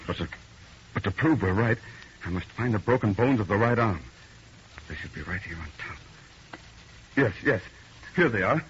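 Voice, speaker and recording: Man playing haunted insistent man, low voice, grim old radio drama, muffled vintage recording. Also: animated, moderate pace, long pauses, slight background noise, close to an everyday voice. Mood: grave, urgent. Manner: hesitant, then grimly insistent. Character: agitated, insistent man haunted by a nightmare vision.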